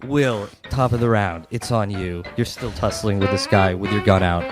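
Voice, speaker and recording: A man's voice: fairly low pitch, normal speed. A man running a tabletop horror game as game master, improvising scene descriptions and calling for rolls. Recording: music laid loudly under the voice. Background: loud background music.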